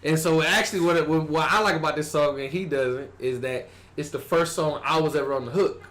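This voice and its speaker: A young man from Houston with a Southern accent, a rapper guest telling stories in a casual podcast interview. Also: brisk. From Houston, Texas. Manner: animated storyteller, acts out quotes in a higher voice.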